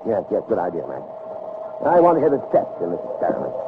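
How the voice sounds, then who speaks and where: An actor playing a proud, obsessive older scientist in a 1960s radio drama, grandiose and self-satisfied in manner. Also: male voice, low voice, huge pitch swings, fast, narrow, tinny audio, exaggerated voice. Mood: brisk, musing. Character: proud, obsessive older male scientist devoted to his machine. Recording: noisy, band-limited vintage radio recording.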